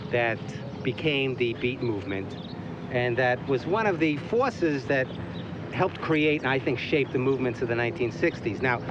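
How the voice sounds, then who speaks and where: A man giving an informal explanatory talk as a guide, speaking emphatically in halting phrases. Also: mid-range voice, noisy background. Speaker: tour guide or lecturer. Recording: muffled location sound under music. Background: music.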